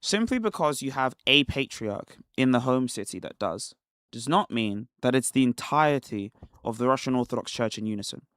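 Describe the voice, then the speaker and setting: A man originally from Nigeria hosts a casual livestream commentary, pushing his points with rhetorical questions and short laughs. His voice is bright and forward, and it sits close and dry on the mic with no room sound. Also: medium pitch, lively intonation, moderate pace, clean tone, no room sound. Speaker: livestream host.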